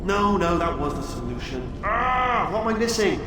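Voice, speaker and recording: A man's voice: high voice, sing-song, moderate pace, very noisy. An actor playing a nervous, inept male robot core in a video game who rambles, corrects himself, and breaks into panicky yelps. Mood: chatty. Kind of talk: video game cutscene dialogue. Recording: very echoey game audio, distant, with music.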